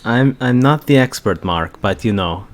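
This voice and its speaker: A man reading comically and commenting casually, amused, repeating his phrases haltingly, with uneven volume. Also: medium pitch, expressive. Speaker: co-host reading fan fiction aloud.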